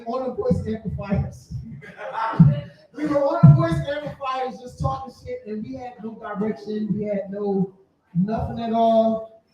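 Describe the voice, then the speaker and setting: Boisterous high-voiced man in his forties, very echoey. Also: warm tone, slight background noise. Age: 40s. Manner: boisterous, repeats phrases for effect. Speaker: podcaster telling their story at a live event. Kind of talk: casual live storytelling to an audience. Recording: distant mic, very echoey room, muffled.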